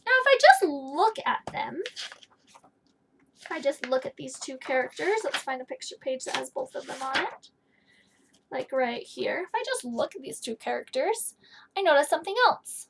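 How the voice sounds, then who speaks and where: A woman's voice: high-pitched, big pitch swings, normal speed, gravelly, noisy background. A woman in her thirties teaching a read-aloud lesson, explaining and putting questions directly to young children. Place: small indoor room with bookshelf.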